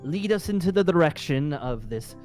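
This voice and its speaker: A man acts out a haughty wizard who runs the room with formal, theatrical flourishes. His pitch swoops around as he turns sarcastic or courtly. It is improvised fantasy game roleplay, with faint music underneath. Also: animated, moderate pace, darkish tone, natural voice. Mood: businesslike.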